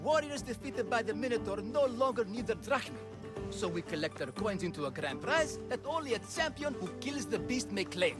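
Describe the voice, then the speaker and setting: A man's voice: sits high, big pitch swings, normal speed. An actor playing a flamboyant, scheming ancient Greek showman in a video game, grandiose and prone to flustered stammering, with music behind him. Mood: boastful pitching. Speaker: carnival-style promoter. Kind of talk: theatrical video game acting.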